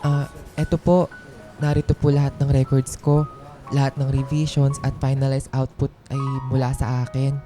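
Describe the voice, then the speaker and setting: A man's voice: mid-range voice, level tone, moderate pace, close to an everyday voice. A man playing an anxious, aggrieved office employee in a radio drama, speaking in a hesitant, polite, earnest way. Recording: music behind the voice.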